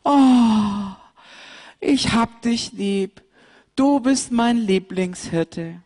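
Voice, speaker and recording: A man voicing a little girl lamb in a very high, soft, pleading voice. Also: very slow, full character voice. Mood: grateful. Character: little girl lamb, scared then clingy.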